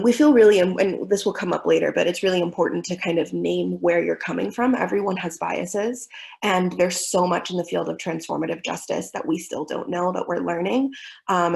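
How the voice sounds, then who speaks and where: A woman's voice: on the low side, gravelly, darkish tone. A young woman from Miami presenting a webinar in an earnest, thoughtful way with frequent fillers. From Miami, United States.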